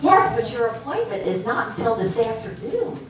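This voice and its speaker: A woman's voice: a little high, big pitch swings, moderate pace. An amateur actress, a woman playing a feisty, tart office assistant in a stage comedy reading, dry and snippy. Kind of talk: amateur readers theater comedy acting. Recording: very echoey hall from far off, faint hiss.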